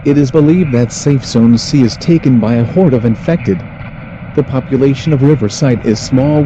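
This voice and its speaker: A man reading a scripted emergency military briefing as an announcer, in a formal, flat official manner. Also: mid-range voice. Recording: muffled lo-fi audio with faint noise.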